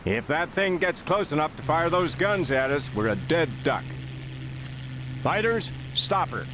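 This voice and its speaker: A man acting a steady World War Two naval commander in a video game, with a clipped, commanding military delivery. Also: fairly high pitch, measured pace, background noise. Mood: urgent command. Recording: loud music over the voice.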